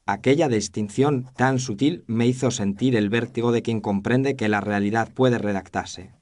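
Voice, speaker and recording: A man's voice: medium pitch, moderate pace, darkish tone, natural voice. A man narrating as a thoughtful doctor recounting a case in an audio drama, in a reflective, literary manner. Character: thoughtful male doctor narrating his memoir. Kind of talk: scripted narration.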